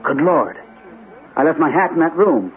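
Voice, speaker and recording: An actor playing an anxious, earnest army captain and war veteran in an old-time radio mystery drama. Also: male voice, fairly high pitch, big pitch swings, normal speed. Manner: earnest, hesitant. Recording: tinny, noisy old radio recording.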